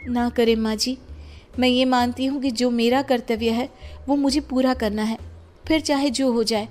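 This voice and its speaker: A woman plays a young cartoon daughter-in-law with a raised, girlish pitch. She is deferential and self-effacing, always polite, and music plays behind her. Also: fairly even intonation, moderate pace, a little mellow, lightly acted. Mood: earnest, resigned.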